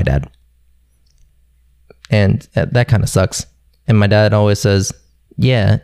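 A young man from Philadelphia talks casually about his childhood, rambling and swearing now and then. His voice sits low with a gravelly edge. The sound is dry and close. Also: warm tone, no room sound. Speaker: guest. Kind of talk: casual conversation.

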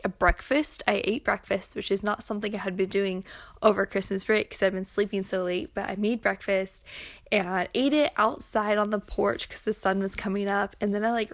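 Young woman doing a chatty audio diary, rambly n informal, mid pitch, really dry recording. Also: no echo. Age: young adult. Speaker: podcaster, schoolteacher. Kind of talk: casual chatty personal audio log.

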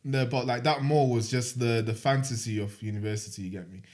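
A man's voice: medium pitch, a little fast. Slangy young man telling stories. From Manchester, England. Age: 20s. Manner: slangy, animated storytelling. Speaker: podcast co-host. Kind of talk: casual chat.

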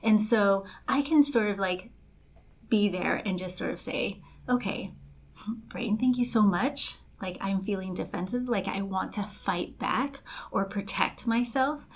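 A woman's voice: medium pitch, measured pace. A young woman raised in Los Angeles, co-hosting a podcast as a life coach and explaining ideas in casual chat, full of fillers. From Los Angeles, raised by Colombian family. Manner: chatty, many fillers like "like" and "kinda".

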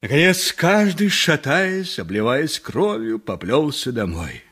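Husky, animated male storyteller. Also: fairly high pitch, unhurried, full character voice. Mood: dramatic. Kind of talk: dramatic scripted narration in a radio play.

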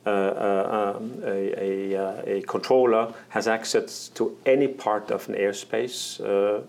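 Executive man being interviewed, lowish voice, hesitant, lots of filler sounds. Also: darkish tone. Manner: halting, many fillers and restarts. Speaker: air traffic management executive. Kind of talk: interview answers.

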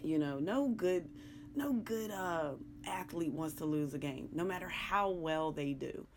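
A middle-aged woman hosting a sports show in casual, rambling talk, frank and joking, with a raspy, somewhat hoarse voice. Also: low-pitched, expressive, unhurried, background noise.

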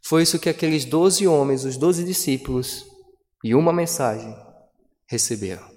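A man preaching a sermon as pastor, with dramatic pauses and emphatic delivery. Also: on the high side, a little mellow. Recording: long hall reverb.

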